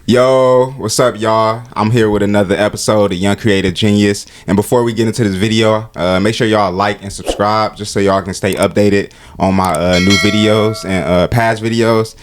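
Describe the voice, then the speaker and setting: Young American male interview host, very deep voice, casual delivery. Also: fairly even intonation, normal speed, few pauses, soft background music. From Cleveland, USA.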